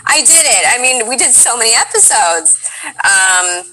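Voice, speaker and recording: Thoughtful woman with a bright voice. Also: a little high, lively intonation, unhurried, a little hiss or hum, low-quality recording. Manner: thoughtful, laughs often, many fillers. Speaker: guest voice actor. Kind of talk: casual chat.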